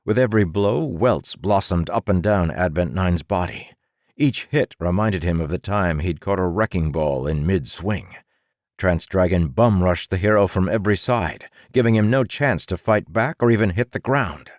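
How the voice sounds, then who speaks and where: Deep, dramatic male audiobook narrator. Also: moderate pace, darkish tone, no room sound, clean studio sound.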